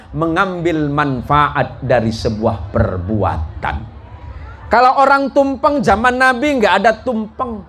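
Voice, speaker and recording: High-voiced male preacher, folksy. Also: fairly bright tone. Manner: jocular, folksy, asks the listeners questions. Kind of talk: sermon to a congregation. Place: mosque gathering before a live congregation. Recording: live talk with clear background noise.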